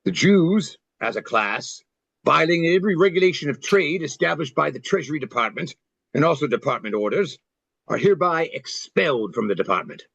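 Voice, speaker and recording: Stern, curt Civil War general for a play reading, higher-set male voice, played by a man, formal and commanding, dull recording. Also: lively intonation, a little fast, gravelly, fairly bright tone, breathy edge, no room sound, exaggerated voice. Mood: cold, official. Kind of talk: amateur play table reading. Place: online video-call reading. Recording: dull, dry video-call sound.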